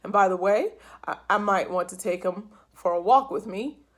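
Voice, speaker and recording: A woman's voice: medium pitch, normal speed, lightly acted. A woman voicing an earnest, indignant middle-aged man who keeps a penguin. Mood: insistent. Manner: earnest, insistent. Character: indignant middle-aged male pet owner.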